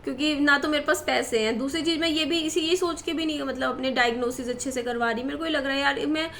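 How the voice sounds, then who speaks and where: A woman's voice: sits high, steady tone, fast, fairly bright tone, slight background noise. A woman in her thirties talking to her viewers in a worried, rambling way, with many fillers and restarts. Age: 30s. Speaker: vlogger. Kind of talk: personal talk to camera.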